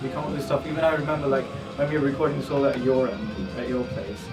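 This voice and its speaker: A man's voice: mid-range voice, steady tone, quick pace. A man in a band chatting casually about recording, agreeing emphatically and repeating himself. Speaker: band member giving album commentary. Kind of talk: casual chat. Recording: distant mic, guitar music behind.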